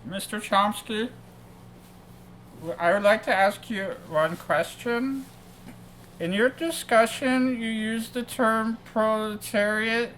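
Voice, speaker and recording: A woman reading a moderator's questions aloud in a measured, formal way. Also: a little high. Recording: faintly noisy amateur recording.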